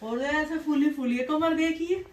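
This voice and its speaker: A woman's voice: sits high, unhurried. A middle-aged woman chatting casually as a vlogger, recorded on a phone at arm's length. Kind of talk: casual vlog chat to camera and family. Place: small home rooms and inside a car.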